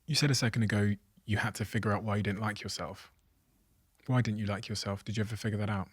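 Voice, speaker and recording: Low-voiced male podcast interviewer. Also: steady tone, fast talker, breathy edge, no echo, clean studio sound. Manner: probing. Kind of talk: conversational interview.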